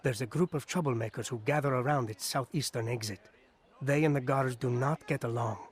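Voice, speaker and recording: A man's voice: medium pitch, a little fast, natural voice. A man playing a dry knowing informer. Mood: wry. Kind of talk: video game cutscene acting.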